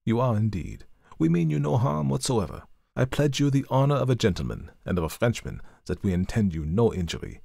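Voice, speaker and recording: A man voicing a genial, sharp-witted gentleman detective who speaks in a measured, courteous way. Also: mid-range voice, big pitch swings, creaky, no echo, clean studio sound, voice pushed up. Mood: calm, courteous.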